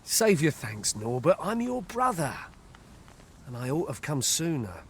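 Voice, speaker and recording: An earnest village guide from a fantasy game, protective of his brother. His voice sits a little high and. He speaks in plain, old-fashioned rustic phrases, with noisy ambience behind him. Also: big pitch swings, measured pace, fairly bright tone, full character voice. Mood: relieved. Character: worried village man, protective older-seeming brother and forest guide. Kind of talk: scripted fantasy game dialogue in archaic English.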